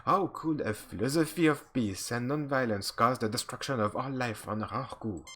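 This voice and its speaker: A man's voice: medium pitch, moderate pace, fairly bright tone, lightly acted. A man reading as a firm starship captain. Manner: reads aloud plainly, sometimes stumbling.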